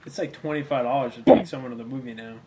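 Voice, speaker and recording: A man's voice: medium pitch, sing-song, rapid-fire, gravelly, crowd noise. A man hosting a podcast, teasing in casual banter and laughing often. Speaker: podcast host.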